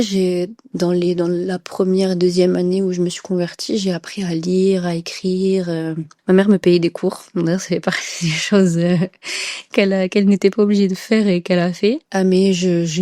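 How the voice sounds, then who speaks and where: Soft mellow young Frenchwoman, hesitant storyteller. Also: fairly low pitch, little pitch movement, moderate pace, no room sound, clean studio sound. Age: young adult. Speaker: podcast guest. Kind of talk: casual conversational storytelling.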